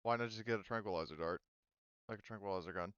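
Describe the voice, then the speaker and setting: A man's voice: fairly low pitch, fast, fairly bright tone, smooth, muffled recording. A man running a tabletop game as game master, explaining rules casually and matter-of-factly over voice chat.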